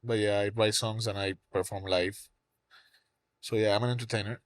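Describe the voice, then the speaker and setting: A man's voice: lowish voice, level tone, normal speed, no echo. A man in his thirties with a Cuban accent, a songwriter guest chatting casually, rambling with lots of "you know, like" fillers. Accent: Cuban Spanish. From Cuba. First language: Spanish. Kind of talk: casual interview chat.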